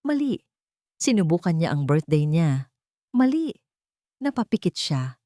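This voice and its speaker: Woman, Filipino accent, low voice, dry close sound, dramatic scripted storytelling, deliberate delivery. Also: very animated, warm tone, dry recording. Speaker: story narrator.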